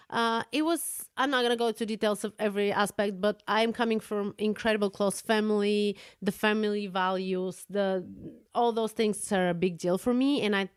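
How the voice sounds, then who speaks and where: A woman's voice: mid-range voice, clean tone, no room sound, clean studio sound. A woman in her forties with a Bulgarian accent, co-hosting a podcast and telling stories in a chatty way, often laughing and searching for words. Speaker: podcast co-host. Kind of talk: casual storytelling.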